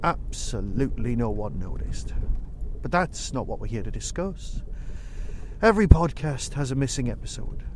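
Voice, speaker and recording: A man's voice: mid-range voice, animated, normal speed, slight background noise. A man hosting a solo podcast in a casual, rambling way, with wry, self-deprecating asides. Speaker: podcast host and reviewer.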